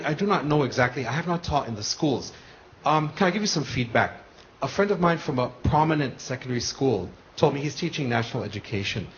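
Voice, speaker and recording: A man's voice: fairly high pitch, a bit bright. A man, a lecturer in the audience, earnestly putting a long question to the panel with many restarts. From United States. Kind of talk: question from the floor. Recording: muffled broadcast with faint hiss.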